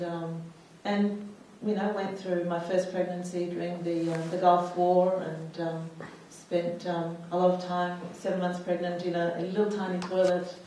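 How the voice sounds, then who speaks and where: Woman, 40s, from the US; halting personal testimony full of fillers; lower voice; distant mic, very echoey hall. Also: warm tone, slight background noise. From United States. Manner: hesitant, many fillers and restarts. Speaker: panel speaker. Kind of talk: personal testimony at a public talk.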